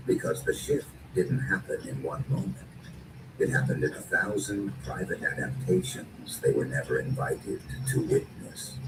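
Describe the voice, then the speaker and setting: An extremely low-pitched man delivers dark spoken-word narration with a menacing, deliberate edge. His phrases are short and emphatic, with dramatically varied intonation. The recording is distant, with clear background noise. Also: echoey room.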